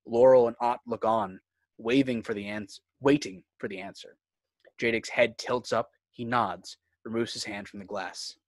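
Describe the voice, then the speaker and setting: A man's voice: mid-range voice, steady tone, normal speed, no room sound. A man reading scripted screenplay narration and stage directions for a table read.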